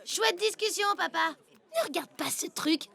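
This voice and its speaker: A woman's voice: very high-pitched, animated, normal speed, gravelly, pushed and bright, exaggerated voice. A woman voicing a snobbish, bossy young female bug, a spoiled mayor's daughter, with haughty, dramatic delivery in a cartoon dub.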